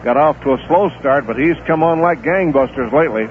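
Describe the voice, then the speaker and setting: A man calling a baseball game play-by-play on radio, steady and unhurried, filling the gaps between pitches with stats and notes on the players. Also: slight background noise. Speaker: play-by-play announcer. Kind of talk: live baseball radio commentary. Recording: tinny 1970s AM radio broadcast.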